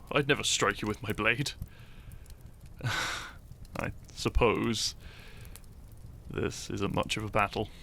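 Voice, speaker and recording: Man playing a slow, gravelly, bashful fantasy hero. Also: lowish voice, slight background noise. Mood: earnest, gentle. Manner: soft, hesitant, stammering, breathy sighs and chuckles. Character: shy young male fantasy hero, teasing, lovestruck, easily flustered. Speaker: audio roleplay voice actor. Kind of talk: intimate scripted romantic audio roleplay.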